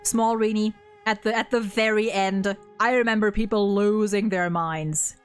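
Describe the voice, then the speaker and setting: A woman's voice: medium pitch, fairly even intonation, normal speed, smooth, soft background music. A woman chatting casually as an enthusiastic fan, effusive and sweary, often stammering over repeated words. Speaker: streamer co-hosting a video game story ranking. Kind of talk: casual animated fan discussion.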